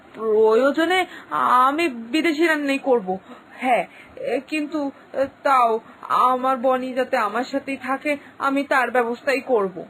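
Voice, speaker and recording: A woman's voice: high-pitched, normal speed, pauses often, fairly bright tone, a little hiss or hum, rough recording. A woman voicing an anxious, doting village mother in a cartoon story, with a homely, fretful, pleading manner. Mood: fretful, resolute.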